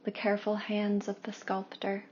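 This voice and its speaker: A woman leading a guided meditation in a hushed, soothing way, with long pauses and soft, breathy phrase endings. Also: medium pitch, completely flat delivery. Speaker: meditation guide and Reiki practitioner. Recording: dull lo-fi with faint background noise.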